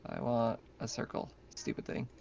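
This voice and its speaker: A man's voice: medium pitch, huge pitch swings, moderate pace, creaky, a little mellow, a little hiss or hum. A man running a tabletop game as game master, narrating casually over an online voice chat. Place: online voice call. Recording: dull, lo-fi voice chat.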